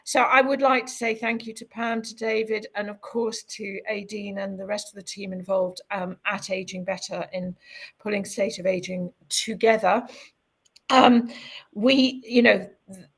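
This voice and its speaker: Croaky gravelly-voiced woman wrapping up a webinar over a video call, lots of ums. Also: mid-range voice, fairly even intonation, low-quality recording. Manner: halting, with many fillers. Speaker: webinar host. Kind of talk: moderating a webinar panel.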